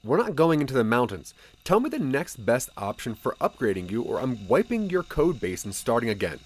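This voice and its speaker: A man's voice: mid-range voice, big pitch swings, a little fast. A man acting a frustrated, hesitant tech tinkerer in an audio drama. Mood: firm, exasperated. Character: frustrated male tech tinkerer arguing with his gadget.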